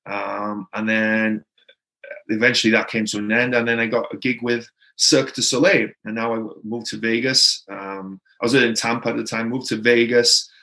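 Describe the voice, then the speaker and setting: A man's voice: medium pitch, little pitch movement, normal speed, bright, forceful tone, rough recording. A man from Wales with a Welsh accent, a native Welsh speaker, telling his story as a podcast guest in a rambling, casual way full of "like" and "right?". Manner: rambling, filler-heavy, frequent "right?" and "like".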